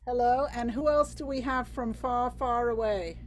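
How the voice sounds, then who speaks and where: A woman's voice: fairly high pitch, moderate pace, slight background noise, poor audio. Bubbly, chatty woman hosting a video call. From England. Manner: bubbly, chatty, with lots of fillers and restarts. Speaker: workshop host. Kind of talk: live video-call workshop.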